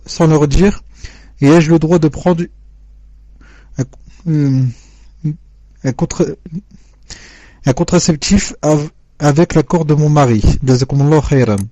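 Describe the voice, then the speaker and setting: A man reading out listeners' written questions in a measured, formal way, on a dull, dry lo-fi recording. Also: fairly high pitch, dark tone, no room sound. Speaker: question reader.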